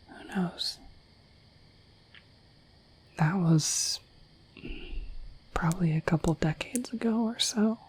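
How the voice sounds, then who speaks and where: A woman voicing a shy, affectionate dorky dragon in an ASMR roleplay, speaking in a gentle, hesitant, breathy whisper. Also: low-pitched, heavily acted, low-quality recording. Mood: wistful, bashful. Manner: gentle, hesitant, slightly bashful, intimate.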